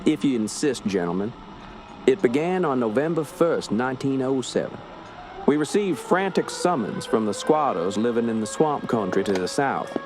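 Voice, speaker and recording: An actor playing a steady, authoritative police inspector, recounting a grim case in a radio drama. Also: male voice, mid-range voice, moderate pace, close to an everyday voice. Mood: composed, authoritative. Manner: composed, authoritative. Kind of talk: radio drama narration and dialogue. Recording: music under the voice.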